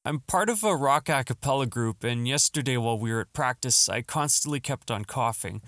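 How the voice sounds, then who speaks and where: A man's voice: medium pitch, animated, a little fast, fairly bright tone, no room sound. A man hosting a podcast from his home studio, talking in an upbeat, earnest tone while his throat is still scratchy from the flu. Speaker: podcast host. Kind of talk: solo podcast monologue.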